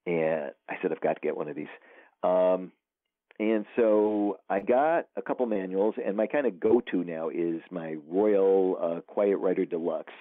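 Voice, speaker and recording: A lowish-voiced man talks over a thin, phone-like line, dry and close. He rambles easily, stopping and restarting with lots of ums and "you know"s. It sounds like a relaxed guest chatting in an interview. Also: measured pace, no echo. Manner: rambling, many fillers and restarts. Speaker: author guest. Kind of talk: casual interview chat.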